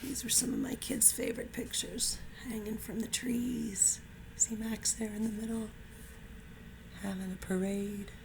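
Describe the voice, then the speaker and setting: A woman's voice: mid-range voice, steady tone, unhurried, gravelly, noisy background. Woman reading aloud to young children. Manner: informal, encouraging, slightly nervous. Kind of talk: casual chat and expressive children's storybook read-aloud.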